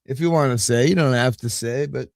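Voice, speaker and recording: A man's voice: medium pitch, a little fast, gravelly, no echo, clean studio sound. A man in his late fifties hosting a live stream, chatting casually in a rambling, easygoing way with lots of fillers. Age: 50s. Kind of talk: casual chat.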